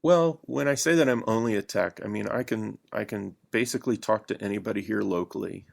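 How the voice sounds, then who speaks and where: A man with a low, gravelly voice talks as a guest on a casual podcast. His unscripted speech is halting, with frequent pauses in the middle of sentences. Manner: halting, pausing often mid-sentence. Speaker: podcast guest, radio hobbyist.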